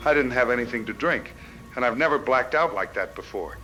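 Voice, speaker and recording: A man's voice: medium pitch, animated, a little fast, sharp tone, faint music behind, low-quality recording. An actor playing a frightened radio caller who fears he is changing, speaking in an anxious, confessional way. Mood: terrified. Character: frightened bitten man phoning in, fighting an inner change. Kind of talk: radio drama call-in monologue.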